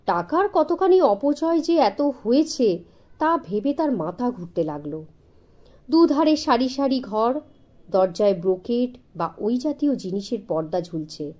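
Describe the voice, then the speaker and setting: A woman narrating a scripted children's story in an expressive, dramatic storytelling manner. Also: a little high. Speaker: audiobook narrator.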